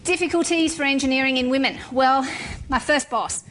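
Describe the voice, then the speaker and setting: A woman's voice: sits high, a little fast, a bit bright, slight background noise. A middle-aged woman, a civil engineer, giving a frank, wry talk to an audience. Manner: frank and wry, with blunt humor.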